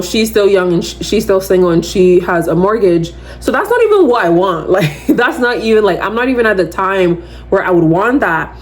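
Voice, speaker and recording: A young woman in her twenties with a naturally loud, mid-pitched voice, talking straight to her listeners as a podcast host. She rambles casually, fills her speech with "like", and keeps breaking into lively asides. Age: 20s. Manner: chatty, rambling, heavy use of "like"; animated, slips into quoting others. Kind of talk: casual solo chat to camera.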